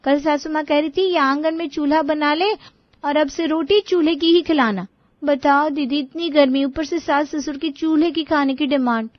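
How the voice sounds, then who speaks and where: A woman's voice: sits high, steady tone, moderate pace, dry recording, voice pushed up, close to an everyday voice. A woman voicing a fed-up young daughter-in-law in a family story, grumbling and gossipy. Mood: complaining. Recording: dull lo-fi audio.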